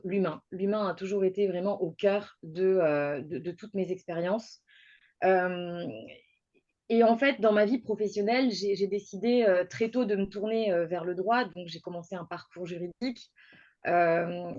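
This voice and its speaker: A woman's voice: mid-range voice, darkish tone. A woman in her thirties from Toulouse in southern France, telling her own career story in a personal monologue with many hesitations, fillers and restarts. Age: 30s. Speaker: podcast guest speaker. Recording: dull lo-fi recording.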